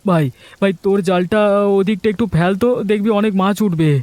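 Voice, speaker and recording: A man's voice: high-pitched, fairly even intonation, fairly quick, a little mellow, a little hiss or hum, lightly acted. A man voicing an aggrieved, quarrelsome village husband in a cartoon, with an emphatic, scolding delivery. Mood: vengeful, determined. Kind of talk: Bengali cartoon dialogue acting.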